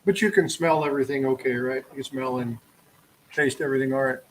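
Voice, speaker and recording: A man's voice: mid-range voice, fairly even intonation, fairly quick, a little hiss or hum, poor audio. A man from Texas hosting a casual live stream, chatting in an easygoing, joking way with a guest. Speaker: coin roll hunting streamer.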